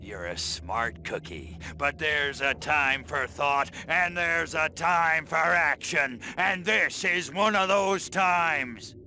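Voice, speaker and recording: A man's voice: a little high, slow, hardly any pauses, sharp tone, slight background noise, full character voice. A man playing a cocky, trigger-happy alien invader in a video game, with a sardonic, slangy tough-guy drawl. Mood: insistent. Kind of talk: animated video game voice acting.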